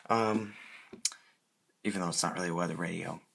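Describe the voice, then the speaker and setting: Deep-voiced man in a casual show-and-tell. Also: fairly even intonation. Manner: halting, self-correcting. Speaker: hobbyist presenter. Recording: clearly noisy amateur home recording.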